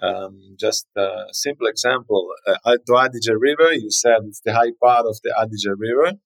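Guest on a podcast: man, native German speaker with a South Tyrolean accent, gravelly, halting delivery. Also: fairly low pitch. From South Tyrol, Italy. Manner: hesitant, repeats words while searching for English. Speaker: winery guest. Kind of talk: podcast interview.

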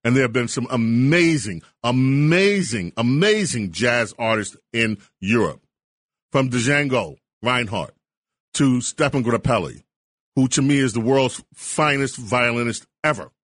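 A man's voice: medium pitch, animated, a little slow, fairly bright tone, dry recording, clean studio sound. A man hosting a call-in talk radio show, talking eagerly and wandering off on tangents. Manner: enthusiastic, rambling tangents. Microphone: studio microphone.

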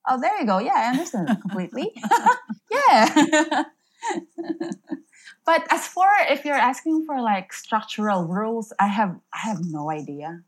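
A woman from the Philippines, a writer whose first language is Filipino, speaking English in a relaxed interview with many pauses and soft laughs. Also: a little high. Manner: thoughtful, many ums, laughs often. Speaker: guest writer. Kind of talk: casual interview chat.

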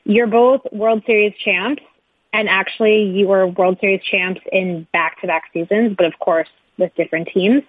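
A woman's voice: medium pitch, steady tone, normal speed, breathy edge, no echo. A woman working as a sports reporter, asking a press question on a conference call. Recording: dry, tinny conference phone line.

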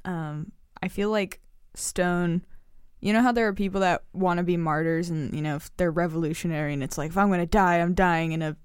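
A woman co-hosting a casual political podcast, musing out loud and hedging with "I feel like". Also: on the low side, steady tone, fairly quick, clear, resonant tone, dry recording, studio quality. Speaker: podcast co-host.

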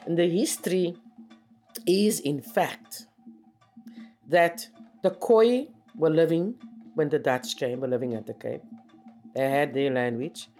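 Slow, reflective man. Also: fairly high pitch, a little mellow, soft background music. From South Africa. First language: Afrikaans. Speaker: poet. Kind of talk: documentary interview.

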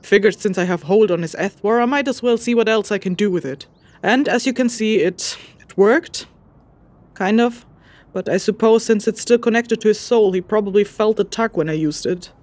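An actress playing a practical, slightly exasperated scholar-investigator in a fantasy audio drama, speaking in a dry, matter-of-fact manner with hesitant asides. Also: female voice, medium pitch, normal speed, a little mellow, lightly acted. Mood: methodical. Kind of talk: immersive fantasy audio drama, spoken journal.

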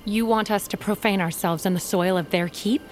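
A woman acting a determined, loyal fugitive in a fantasy audio drama. Her mid-pitched female voice is direct and insistent, with faint music underneath. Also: steady tone, fairly quick, natural voice. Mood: questioning.

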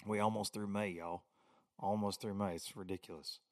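Hoarse, rowdy Tennessee man hosting. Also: fairly low pitch, steady tone, moderate pace, dry recording, studio quality. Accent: Southern US (Tennessee). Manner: rowdy, folksy Southern drawl with loud bursts. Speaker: podcast host. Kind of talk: casual solo podcast monologue.

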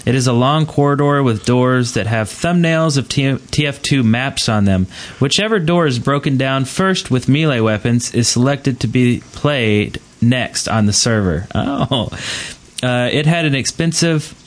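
A man's voice: mid-range voice, lively intonation, measured pace. A man talks in an ordinary mid-range voice. He hosts a gaming podcast and speaks in loose, casual chat. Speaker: podcast host.

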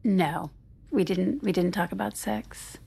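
A woman's voice: mid-range voice, measured pace, vocal fry, mellow, slightly rough, exaggerated voice. An actress in an audio drama playing a guarded, evasive married woman who speaks hesitantly and deflects. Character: guarded, evasive married woman in therapy, curt when pushed. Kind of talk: naturalistic audio drama acting.

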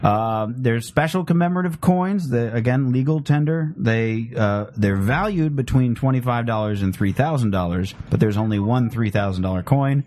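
Relaxed man presenting news bits. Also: medium pitch, normal speed, hardly any pauses. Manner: relaxed, hesitant with many fillers. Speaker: podcast host. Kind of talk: casual chat, presenting topics.

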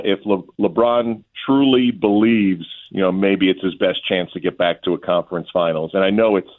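Deep-voiced man on a phone-like line. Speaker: guest sports play-by-play announcer. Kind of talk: radio sports interview, conversational analysis.

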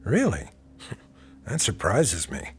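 An actor playing a middle-aged police detective in a radio drama, folksy and probing with casual insinuation. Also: male voice, on the low side, huge pitch swings, slow, soft background music, exaggerated voice.

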